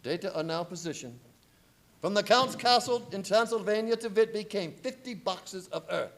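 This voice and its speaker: An actor playing a commanding vampire-hunting professor in a radio play, grave, formal and urgent. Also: male voice, high voice, animated, moderate pace. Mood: grave, methodical. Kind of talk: live radio play acting.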